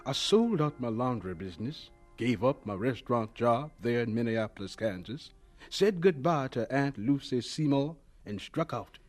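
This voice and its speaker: An actor playing a humble, earnest man in an audio drama, gentle and deferential in manner. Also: medium pitch, animated, moderate pace, faint music behind, natural voice. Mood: wistful. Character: humble, earnest scientist recalling his hopeful, polite younger self.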